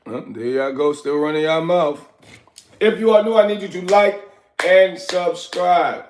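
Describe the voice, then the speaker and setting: A man's voice: fairly high pitch, unhurried. A man in his forties from Liberia, livestreaming from his apartment in a loose, rambling way, answering chat and still getting over a sickness. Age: 40s. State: recovering from illness, coughing. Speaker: livestream host. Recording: echoey room.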